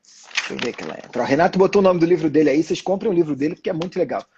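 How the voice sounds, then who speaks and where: A man's voice: a little high, racing, dull audio, noisy background. A Brazilian man hosting a casual live online chat, effusive and informal, often repeating his phrases. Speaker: host. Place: online live video call.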